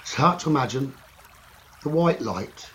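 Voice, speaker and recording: A man leading a guided meditation slowly and soothingly, in short phrases with long pauses. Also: mid-range voice, animated, fairly bright tone. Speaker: meditation teacher. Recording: running stream water noise behind voice. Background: trickling stream water ambience.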